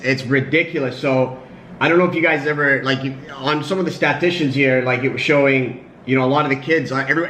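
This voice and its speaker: A man's voice: medium pitch, fairly bright tone. A man speaking as a panelist in a casual discussion, thinking aloud and checking in with "right?" after his points. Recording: echoey with faint noise.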